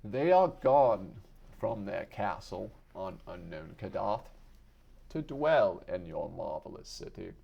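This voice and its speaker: A man giving a solemn, deliberate, theatrical reading of literary fiction as an audiobook narrator. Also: low voice, sing-song, vocal fry, breathy edge, dull audio. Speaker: audiobook reader.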